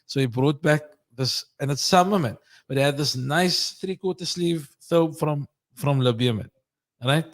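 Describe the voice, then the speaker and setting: Lively storytelling man, slightly high voice. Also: moderate pace. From not Egyptian. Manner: animated storyteller, acts out quoted lines. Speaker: podcast host. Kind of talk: casual conversation.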